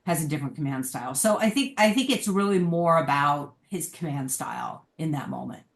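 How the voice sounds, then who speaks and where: A woman's voice: low-pitched, animated, a little fast, creaky. A woman talking casually as a guest in a podcast discussion, recorded with a roomy echo. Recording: roomy, echoing pickup.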